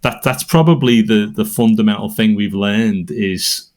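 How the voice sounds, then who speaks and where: Brief: Liverpool man, low voice, songwriter musing loosely on craft. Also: moderate pace, darkish tone. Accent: Liverpool English. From England. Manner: thoughtful, rambling, with fillers and restarts. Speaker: songwriter and podcast co-host. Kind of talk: conversational interview answers.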